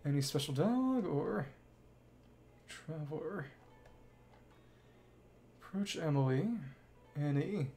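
A woman's voice: low-pitched, animated, slow, long pauses. A woman game streamer reading game dialogue aloud and adding casual commentary. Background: soft game music.

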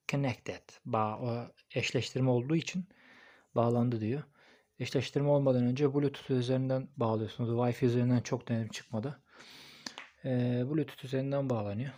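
A man's voice: mid-range voice, mellow. A man giving a casual, hands-on product review, thinking aloud informally as he records on a phone. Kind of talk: casual hands-on unboxing and installation commentary.

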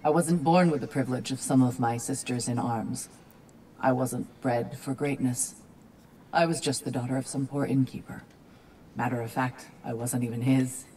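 A woman's voice: a bass voice, steady tone, moderate pace, mellow, slight background noise, rough recording. An actress playing a tough warrior woman from a humble background, speaking plainly and defiantly in a video game. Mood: proud, reflective.

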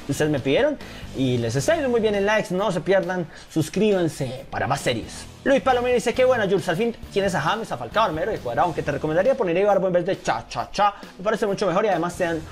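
Lively young gamer, faint music. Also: male voice, high voice, fast talker. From Colombia. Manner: animated, keeps addressing viewers as señores. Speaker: gaming YouTuber. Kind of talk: casual gameplay commentary.

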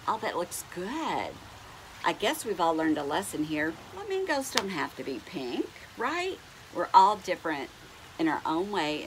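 A middle-aged woman reads a picture book to little ones at an unhurried pace. Her voice swoops up and down as she stretches words and puts questions to the children. There is clear noise behind her in a home recording. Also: sits low, poor audio. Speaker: storyteller reading to children. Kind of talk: expressive picture-book read-aloud with asides to young listeners. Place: indoors at home on a couch.

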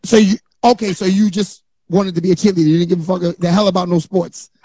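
New man hosting, middle-aged, joking, mellow husky voice, close dry mic. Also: sits high, steady tone, fast. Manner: joking, laid-back banter. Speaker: host. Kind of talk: casual live-stream interview. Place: small home studio indoors. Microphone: handheld studio mic on a stand.

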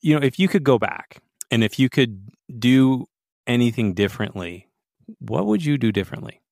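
A man's voice: mid-range voice, expressive, moderate pace, gravelly, darkish tone, no room sound, studio quality. A man with an American accent hosting a podcast in a conversational interviewing style.

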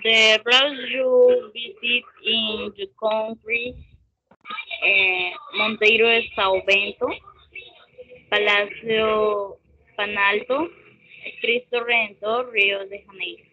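A woman in her twenties with a Latin American Spanish accent, a learner practicing scripted English conversation haltingly on a video call. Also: fairly high pitch, steady tone, husky. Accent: Spanish-speaking Latin American. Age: 20s. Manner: halting, careful word-by-word delivery. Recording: dull lo-fi video call audio.

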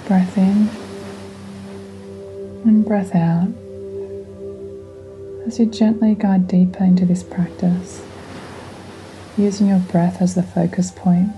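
A woman guiding a meditation in a gentle, soothing, unhurried way, speaking in calm instructions. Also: on the low side, poor audio. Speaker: meditation guide. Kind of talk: guided meditation. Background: relaxing music.

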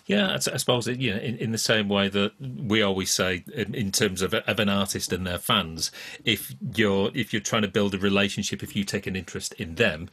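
Man, middle-aged, forceful bright tone, raspy edge, halting delivery, podcast host. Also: fairly low pitch. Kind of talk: casual interview chat. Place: room indoors, video call.

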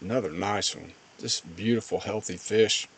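A man's voice: fairly low pitch, normal speed, slightly rough, poor audio. A man giving casual, plain-spoken voice-over commentary on fishing footage as host of a fishing channel.

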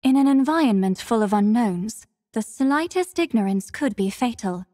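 An actress playing a devoted, composed young female warrior in a video game, speaking with formal, measured, soft courtesy. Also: on the high side, expressive, no room sound, studio quality, in a large hall. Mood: calm, caring. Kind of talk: scripted mobile game character lines.

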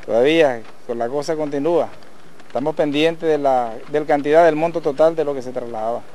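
A middle-aged police commander giving a measured, official statement to reporters outdoors at a roadside, with some halting restarts. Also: male voice, on the high side, dark tone. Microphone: handheld reporter mics.

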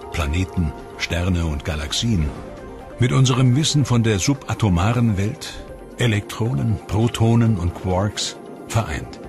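A man with a low, grounded voice delivers scripted documentary narration into a close studio mic. He speaks in a measured, grave and dramatic way, and clear music plays under him throughout.

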